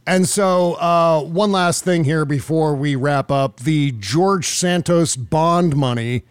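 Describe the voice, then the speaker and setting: Male American political podcaster, a touch high in pitch, conversational and emphatic, recorded very dry. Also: takes it slowly, clean tone, dry recording. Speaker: podcast host. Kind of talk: casual political commentary.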